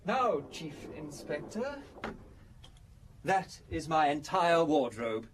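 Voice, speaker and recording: An actor playing a fastidious, composed man in his thirties under police questioning, with a husky, precise voice in a radio drama. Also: fairly high pitch, sing-song, a little hiss or hum. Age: 30s.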